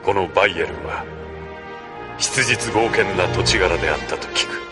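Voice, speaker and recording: Deep-voiced commanding colonel played by a man. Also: moderate pace, over music, close to an everyday voice. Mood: solemn, rousing. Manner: solemn, formal, authoritative oratory. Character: commanding male military colonel, grandiose coup leader, composed. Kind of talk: anime audio drama acting.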